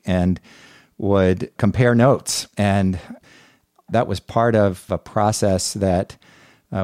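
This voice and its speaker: Man, middle-aged, podcast interviewer, dark relaxed tone, dry close sound. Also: low-pitched, no room sound. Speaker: podcast host.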